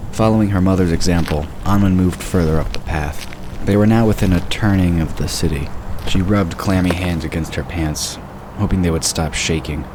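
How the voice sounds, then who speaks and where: A man giving scripted narration for a fantasy audio drama. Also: normal speed. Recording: clearly noisy, sound effects behind. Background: rumbling ambience and sound effects.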